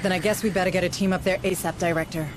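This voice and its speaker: A somewhat low female voice, an actress as an earnest station administrator. She sounds measured and resolute in game dialogue, over clear background rumble. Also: fairly even intonation, close to an everyday voice. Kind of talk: scripted video game cutscene acting.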